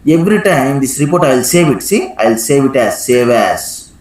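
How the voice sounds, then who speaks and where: A man teaching a live software tutorial step by step, instructing and often checking in with a questioning "right?". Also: medium pitch, animated, moderate pace. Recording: echoey room sound.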